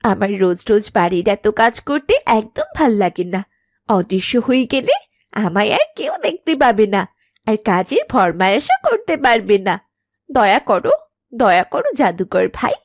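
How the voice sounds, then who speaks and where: A woman's voice: medium pitch, wildly swooping pitch, normal speed, dry recording, clean studio sound. A woman playing a work-shy young farmer's wife in a cartoon, with a whiny, scheming, exaggerated manner. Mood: pleading. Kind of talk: animated moral-story cartoon dialogue.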